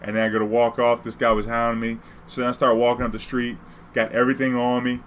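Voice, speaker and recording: A man's voice: medium pitch, completely flat delivery, quick pace, slight background noise. A man from New Orleans with an American accent, telling personal stories casually in profane street slang, acting out the dialogue.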